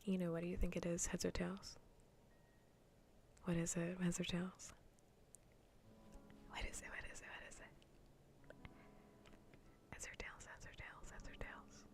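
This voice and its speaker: A woman livestream host speaking softly in a breathy, often whispered ASMR style, teasing and playful, close to the microphone. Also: fairly low pitch, completely flat delivery. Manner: teasing, playful, slow and repetitive. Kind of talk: soft-spoken ASMR gaming livestream chat.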